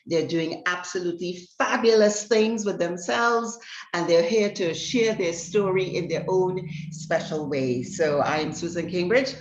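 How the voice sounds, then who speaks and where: A woman's voice: on the low side, measured pace. A woman hosting an interview show, introducing and questioning a guest in a conversational style. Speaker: host of an interview channel. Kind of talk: warm-up introduction and interview chat. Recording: echoey room, dull audio.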